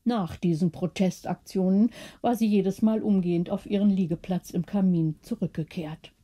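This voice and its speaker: A woman's voice: mid-range voice, fairly even intonation, moderate pace, dark tone. A woman narrating a scripted bedtime story as an audiobook reader.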